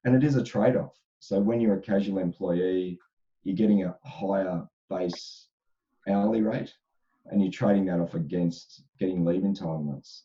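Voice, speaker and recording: Deep, dark-voiced man with a crackly throat. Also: moderate pace, highs cut off. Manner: thoughtful, repetitive restarts. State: slightly crackly voice. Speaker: HR expert panelist. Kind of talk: casual panel discussion.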